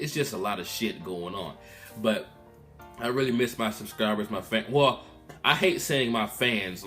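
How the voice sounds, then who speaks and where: A man in his twenties talking casually to his viewers in a candid, rambling vlog update, recorded in a room at his mother's house. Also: lowish voice. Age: 20s. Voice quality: loud voice. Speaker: vlogger.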